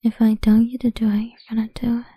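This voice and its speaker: A woman's voice: on the high side, level tone, fast, warm tone, husky, no echo. A woman voicing a cruel, domineering woman who taunts in a crude, menacing whisper in an audio roleplay.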